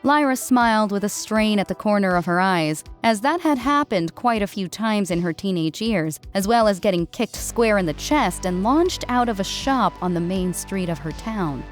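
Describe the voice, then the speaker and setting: A woman narrates a story in a scripted audiobook style. Her voice sits at a middle pitch, with faint music playing behind it. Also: lively intonation, normal speed, hardly any pauses. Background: background music.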